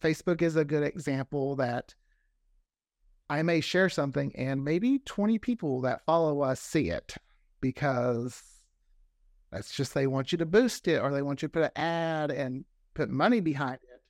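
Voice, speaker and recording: A man's voice: on the high side, animated, normal speed, a little mellow, no echo, studio quality. A talkative man chatting casually as an interview guest, often repeating words for emphasis. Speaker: interview guest, fiction podcast creator.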